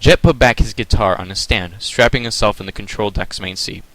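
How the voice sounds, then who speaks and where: A man reading his own fan story aloud as a soft, hushed dramatic narrator. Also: fairly low pitch, a little fast, dry recording, low-quality recording.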